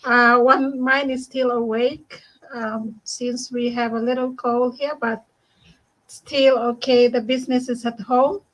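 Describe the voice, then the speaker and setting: A woman from Bougainville hosts a relaxed online community call from her home. Her voice sits high, and she laughs easily and thanks each guest warmly. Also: measured pace, low-quality recording. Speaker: host. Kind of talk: casual online community chat.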